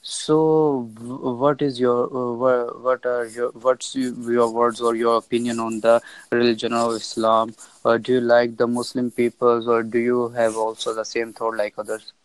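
Halting young man, flat and smooth. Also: mid-range voice, dry recording, rough recording. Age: 20s. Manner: halting, hesitant, polite. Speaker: video call host. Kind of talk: casual conversation. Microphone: video call.

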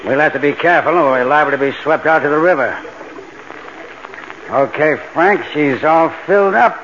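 A man's voice: fairly high pitch, moderate pace, a bit bright, lightly acted. A man acting a confident, scheming tough crook who gives instructions. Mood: brisk, directing. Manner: assured, instructing, tough-guy slangy. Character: Marty, confident scheming bank-job mastermind, tough crook. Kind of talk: 1940s radio crime drama acting. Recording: muffled old-time radio recording, background hiss.

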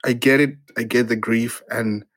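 Zimbabwean man, playwright guest, halting and reflective, mid pitch. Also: fairly even intonation, a bit bright. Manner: hesitant, halting, many restarts and fillers. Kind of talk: reflective interview conversation.

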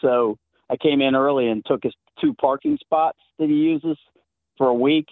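A man's voice: mid-range voice, normal speed, gravelly, dry recording. Chatty Southern man on a phone line. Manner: chatty storyteller, laughs often. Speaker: caller. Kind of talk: call-in show chat.

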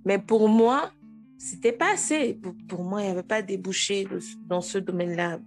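A woman's voice: medium pitch, normal speed, soft background music. A woman in her thirties with a Togolese French accent, a guest telling her own story frankly and with animation. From Togo. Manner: frank and animated, with frequent restarts. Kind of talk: casual interview.

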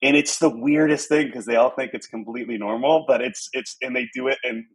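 A man from Pittsburgh in his forties, a podcast guest chatting casually, telling animated stories with frequent restarts and repeated words. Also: medium pitch, a little fast, poor audio. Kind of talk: casual conversation.

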